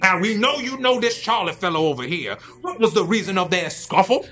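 A man's voice: high voice, expressive, fairly quick, a bit bright, faint music behind. A man playing a pushy American lawman from the 1930s, questioning in old-time radio drama style.

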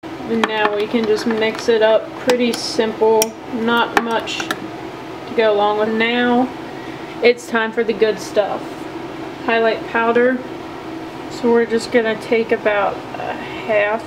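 A woman chatting casually and upbeat while showing how she makes soft plastic fishing baits at her home workbench. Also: a little high, little pitch movement, takes it slowly. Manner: chatty, upbeat, filler-heavy. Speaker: bait maker showing her process. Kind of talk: casual hands-on tutorial. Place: home workshop bench. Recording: noticeable air-conditioning hum.

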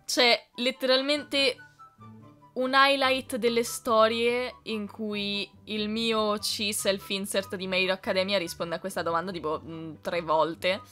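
A young woman with a notably bright, forward voice talks casually through fan questions. She is expressive and playful, with music playing faintly underneath. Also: medium pitch, a little slow. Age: young adult. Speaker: dubbing content creator answering fan questions. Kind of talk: casual question-and-answer chat.

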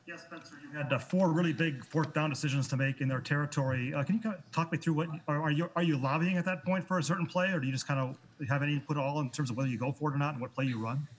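Very fast-talking male reporter. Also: a little high, steady tone, a little hiss or hum, rough recording. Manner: rapid, long questions. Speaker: sports reporter. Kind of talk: press conference questions.

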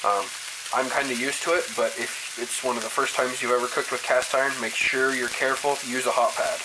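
A man's voice: medium pitch, steady tone, a little fast, noisy background. Young man, laid-back home cook host, folksy step-by-step talk, camera mic in a home kitchen with a pan sizzling behind. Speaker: home cooking show host. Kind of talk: casual cooking demonstration. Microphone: camera mic, speaker off frame, moving around. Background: frying and sizzling pan.

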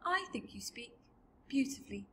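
A woman's voice: high-pitched, expressive, moderate pace, a bit bright, a little hiss or hum, voice pushed up. A woman voicing a polite, curious, plucky little girl in a storybook. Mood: kind, reassuring. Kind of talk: storybook character voice.

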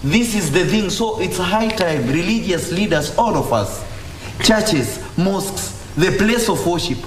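A man's voice: high voice, expressive, a little slow, roomy sound, slight background noise, poor audio. A man with a Kenyan accent, a religious leader giving impassioned, repetitive, exhorting answers in a TV interview. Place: TV studio. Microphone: lapel mic.

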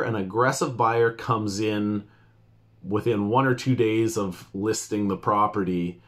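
Male realtor, 40s, fairly low voice, conversational and emphatic explainer delivery. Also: fairly bright tone. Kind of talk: informal explainer talk to camera. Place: small indoor office.

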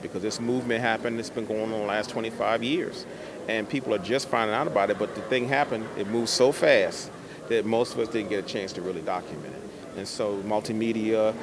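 A middle-aged man, an artist, answering interview questions conversationally into a handheld microphone. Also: on the low side. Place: indoor art expo in a convention center. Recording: busy exhibition hall crowd behind. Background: crowd noise.

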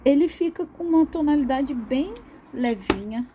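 A young adult woman, slightly hoarse from a cold, hosting her own art channel and chatting loosely while she shows off her coloring pencils. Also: high-pitched, animated, moderate pace, background noise. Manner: chatty, rambling, with laughs and restarts. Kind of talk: casual chat demonstrating coloring.

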